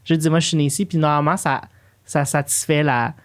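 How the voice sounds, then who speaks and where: A woman's voice: low voice, steady tone, brisk, slight background noise. Young Montreal-area woman chatting, Quebec accent. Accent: Quebec French. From Montreal area, Quebec, of Chilean parents. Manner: slangy, mixes English phrases into French. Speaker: podcast co-host. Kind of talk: casual conversational podcast chat.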